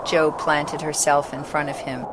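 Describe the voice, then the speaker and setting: A woman's voice: low-pitched, level tone, a little slow. A woman narrating a children's story from a script. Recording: clearly noisy background ambience.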